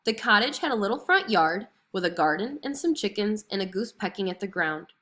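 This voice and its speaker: A woman reading a children's fairy tale aloud as an expressive storyteller. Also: medium pitch, a little fast. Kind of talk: expressive scripted story narration.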